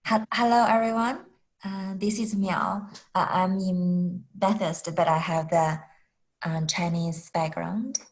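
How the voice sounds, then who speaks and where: A woman's voice: fairly low pitch, steady tone, slow pace, poor audio. A woman in the audience saying a brief hesitant hello over a video call. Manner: hesitant, careful speech. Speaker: audience member. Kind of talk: brief greeting.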